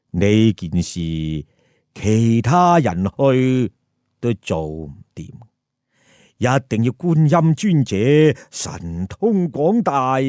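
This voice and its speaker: A man voicing a serene, grave Buddha in measured, solemn pronouncements for a story. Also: fairly low pitch, a little mellow, no echo, clean studio sound, voice pushed down, exaggerated voice. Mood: pleased, stately. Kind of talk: storytelling character voice.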